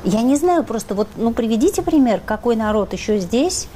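An assertive woman argues her case as a studio guest on a discussion panel. Her tone is mellow and warm, with a faint hum of the studio behind her. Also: a little high, big pitch swings, brisk. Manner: assertive, argumentative.

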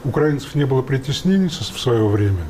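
A man's voice: mid-range voice, expressive, a little fast, over a noisy crowd. A man speaking as a studio guest who cuts in to challenge another speaker during a panel debate. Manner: challenging, interjecting.

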